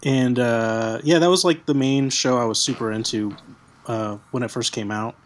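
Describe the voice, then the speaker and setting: A man born in Hawaii and raised in Michigan, answering questions in a hesitant, halting way. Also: mid-range voice. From United States, raised in Michigan. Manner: hesitant, halting start-stop delivery. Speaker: comic artist.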